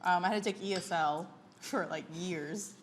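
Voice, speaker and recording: A young woman hosting a casual chat over a meal on a lapel mic, telling stories in a chatty, animated way and laughing often. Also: on the low side, normal speed, slight background noise. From United States. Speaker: host. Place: indoor dining table set with food.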